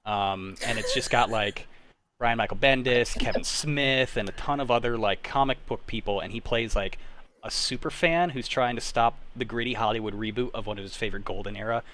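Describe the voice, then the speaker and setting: A man's voice: medium pitch, brisk, a bit bright, slight background noise. A man around his thirties hosting a casual group chat in an informal, self-deprecating way. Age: 30s. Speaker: host. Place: online group call.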